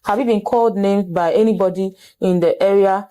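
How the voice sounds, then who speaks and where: Ghanaian woman host, warm, earnest, restates answers. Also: mid-range voice, fairly even intonation, brisk, clean tone. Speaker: interviewer and host. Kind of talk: interview with advocacy appeals.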